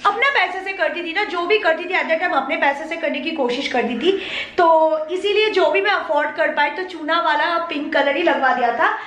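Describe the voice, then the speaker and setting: A young woman vlogging casually and emotionally as she walks a handheld camera through the bare rooms of an old flat. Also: sits high. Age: young adult. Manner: chatty, emotional, mixes in English phrases. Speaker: YouTube vlogger. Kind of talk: casual home tour vlog.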